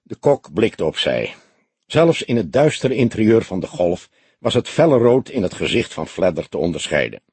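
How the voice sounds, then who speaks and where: Very husky male audiobook narrator. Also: fairly low pitch, sing-song, moderate pace, a little mellow, dry recording. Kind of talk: scripted crime-novel narration.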